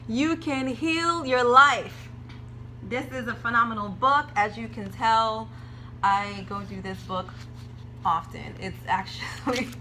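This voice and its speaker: A woman teaching a movement meditation, chatty and personal about a favorite book, then giving slow, soothing breathing cues while seated on the floor indoors. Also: fairly high pitch, sharp tone, a little hiss or hum, poor audio.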